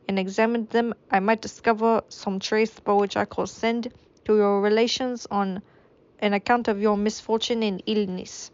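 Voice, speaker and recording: A kindly magistrate speaks slowly and sympathetically, his speech carrying a foreign accent, as a woman puts him on. His voice sits at a middling pitch for a woman's and comes through muffled and dry, with no room around it. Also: darkish tone, no echo, natural voice.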